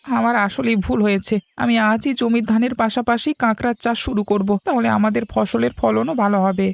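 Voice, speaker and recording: Man doing a creaky, fast-talking village farmer. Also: very high-pitched, steady tone, no echo. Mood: regretful. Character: scoffing, stubborn village farmer, neighbour of the hero. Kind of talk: educational cartoon dialogue.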